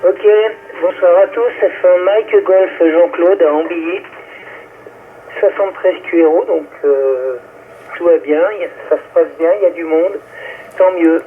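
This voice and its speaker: A man's voice: on the high side, fairly even intonation, a little slow. A man amateur radio operator making a casual check-in with his call sign. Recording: tinny radio link with faint noise.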